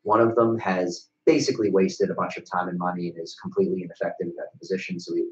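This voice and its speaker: A man's voice: low voice, fairly even intonation, fast, a little hiss or hum. A young man with an American accent chatting casually about business as a webcast co-host, blunt and casually profane. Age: young adult. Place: small bright office, indoors. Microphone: desk microphone on a stand. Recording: echoey room, dull sound.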